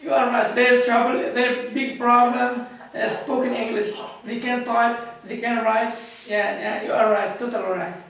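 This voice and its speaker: A middle-aged man from Tunisia, a native Arabic speaker, chatting in halting, repetitive English with a Tunisian accent. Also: very high-pitched, little pitch movement, creaky, a bit bright, heavy reverb, distant mic, low-quality recording. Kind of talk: casual chat.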